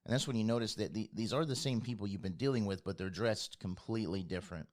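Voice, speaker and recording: Low-voiced man narrating a tabletop game. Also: fast. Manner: informal, descriptive. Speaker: game master.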